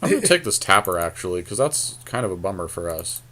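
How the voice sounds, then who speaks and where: Low-voiced man thinking aloud. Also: big pitch swings, fast talker, bright, forceful tone. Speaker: podcast co-host. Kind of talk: casual commentary on a card game draft.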